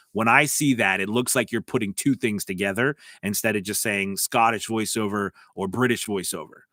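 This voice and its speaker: An American man talks through a live stream, coaching viewers and reading their chat messages aloud in a loose, rambling flow full of restarts and fillers. His voice sits at an ordinary middle pitch. The sound is dry and close, with almost no room around it. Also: a bit bright, no echo. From United States. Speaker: host of a live voice-over business stream.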